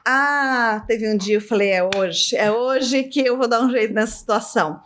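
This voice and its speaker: A middle-aged woman, a business owner telling stories as a podcast guest, her voice leaping up high as she laughs through anecdotes. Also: normal speed, a little hiss or hum. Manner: lively storyteller, voice jumps up high in anecdotes, laughs often. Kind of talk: casual storytelling interview.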